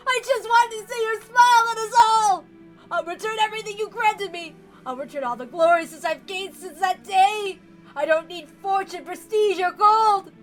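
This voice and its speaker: A woman's voice: very high voice, faint music behind, pitched above the performer's own voice, low-quality recording. A woman voicing a deranged, tyrannical old patriarch with theatrical, obsessive rants, reading from a visual novel. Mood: desperate pleading. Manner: theatrical howling rants, drawn-out pleading.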